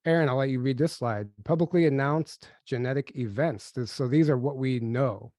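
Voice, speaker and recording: A man hosting a webinar in a casual, upbeat way, still a bit nasal from a cold. His voice sits at an ordinary pitch, and it is recorded close and dry, with no room sound. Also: normal speed, darkish tone, dry recording. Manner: upbeat, chatty, reads slides aloud. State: getting over a cold, a little nasal. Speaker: podcast co-host.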